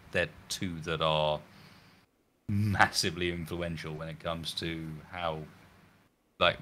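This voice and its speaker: A low-pitched man with a forceful, bright edge, talking in a relaxed, rambling way over voice chat while hosting a gaming video. His voice carries a slight croak. He circles back and corrects himself as he goes. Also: a little hiss or hum. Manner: rambling, animated, self-correcting. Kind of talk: casual voice chat with friends.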